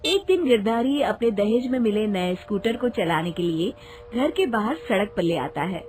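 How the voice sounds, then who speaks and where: A woman's voice: medium pitch, animated, normal speed. A woman narrating a children's cartoon story in a scripted storytelling style.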